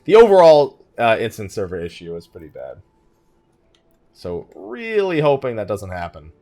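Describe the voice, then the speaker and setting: Animated man doing casual game commentary. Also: lowish voice, normal speed, faint music behind. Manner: thinking aloud, muttering asides to himself. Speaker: let's play host.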